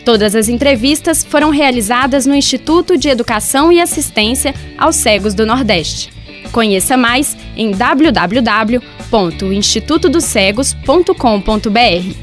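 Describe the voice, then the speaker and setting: A woman reading scripted documentary narration clearly. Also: a little high, expressive, moderate pace, soft background music.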